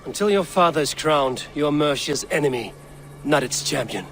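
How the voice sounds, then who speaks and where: An actor playing a stern, commanding Viking warrior who speaks tersely, in a video game cutscene. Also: male voice, on the high side, animated, moderate pace, fairly bright tone. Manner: terse, authoritative. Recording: music under dialogue.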